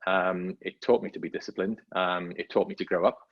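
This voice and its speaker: A very deep-voiced man in his fifties from North Wales chats as a podcast guest, wandering through his answers with plenty of ums and restarts. The sound is dull and lo-fi, like a muffled remote call. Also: steady tone, brisk, slight background noise. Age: 50s. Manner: rambling, self-deprecating, many fillers and restarts. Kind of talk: casual conversational interview.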